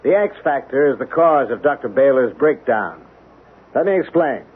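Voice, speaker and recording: A male actor playing an earnest, protective middle-aged colonel in a 1970s radio drama, speaking in a measured, emphatic way with pointed rhetorical questions. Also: mid-range voice, animated, slightly rough. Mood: grave, explaining. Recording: tinny, band-limited 1970s radio recording.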